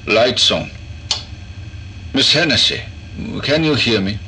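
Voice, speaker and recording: An actor playing an eccentric, pompous doctor in a horror audio drama, speaking in a formal, clinical and theatrical manner. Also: male voice, mid-range voice, expressive, takes it slowly, sharp tone, a little hiss or hum, full character voice. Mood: clinical, measured. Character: eccentric, cold, pompous male doctor running experiments. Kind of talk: horror audio drama acting, recorded log narration.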